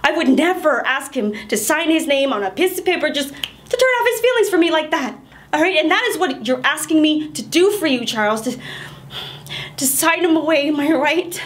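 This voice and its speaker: A woman's voice: high voice, lively intonation, brisk, a little hiss or hum. A woman performing a stage monologue as a young wife who pleads with her husband not to divorce her, laughing through near tears and rambling. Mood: frantic, laughing.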